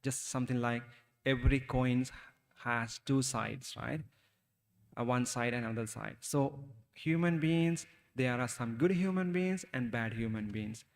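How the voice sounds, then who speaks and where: A man with a Sri Lankan accent, a Buddhist monk giving a talk to young listeners, speaking haltingly and asking them questions. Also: mid-range voice, gravelly, in a large hall. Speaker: Buddhist monk teaching youth. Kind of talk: Dhamma talk for children.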